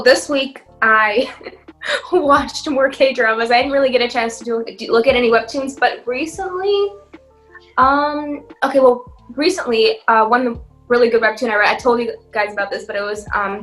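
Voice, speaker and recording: High-voiced, animated young-sounding woman co-host. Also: moderate pace, faint music behind. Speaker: podcast co-host. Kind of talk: casual chat.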